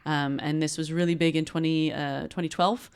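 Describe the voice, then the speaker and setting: A woman's voice: low-pitched, fairly even intonation, normal speed, a little mellow, smooth. A woman from the United States co-hosting a marketing podcast in a playful, casual chat, laughing often. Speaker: podcast co-host.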